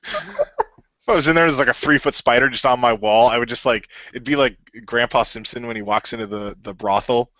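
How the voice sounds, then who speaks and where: Bright, lively man chatting, slightly lo-fi. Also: medium pitch, a little fast, no room sound. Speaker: creator of a radio play, leading a wrap-up discussion. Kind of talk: casual group chat.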